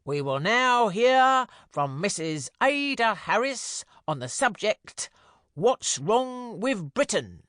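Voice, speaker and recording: A man voicing a pompous ceremonial crier in a legislature, declaiming slowly and grandly. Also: high-pitched, very animated, a bit bright, no echo, clean studio sound, pitched above the performer's own voice, full character voice. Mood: grandiose.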